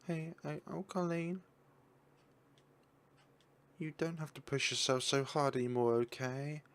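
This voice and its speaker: Man doing a young woman's voice, high, soft, soothing, coaxing, caring. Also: a little slow. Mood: comforting. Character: gentle caring young woman comforting a friend.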